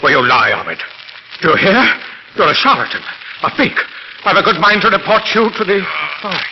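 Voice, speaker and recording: A man voicing a nervous, tormented man who talks haltingly and grows frantic. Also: sits high, very animated. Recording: hissy muffled old radio recording.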